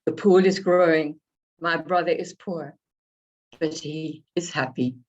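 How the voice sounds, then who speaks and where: A woman from Africa, slightly hoarse from a cold, reading her poems and introducing them in a reflective, unhurried way. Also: low voice, fairly even intonation. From South Africa. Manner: unhurried, reflective, pausing between phrases. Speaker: poet. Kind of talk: poetry reading with spoken introductions. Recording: dull lo-fi recording.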